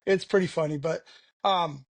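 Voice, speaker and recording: A man's voice: fairly high pitch, normal speed, gravelly, no echo. A man talking frankly as an interview guest, rambling with lots of "you know" fillers. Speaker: podcast guest. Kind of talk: candid conversational interview.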